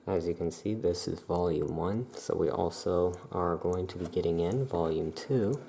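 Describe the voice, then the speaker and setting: A soft-spoken ASMR performer talking in a hushed, unhurried way with long pauses, playing a calm witch shopkeeper showing wares. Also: sits low, gravelly, a little hiss or hum.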